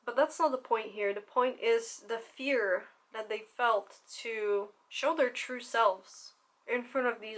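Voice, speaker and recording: A woman's voice: on the high side, a bit bright. A young woman talking candidly to camera as a content creator, swearing casually and laughing often.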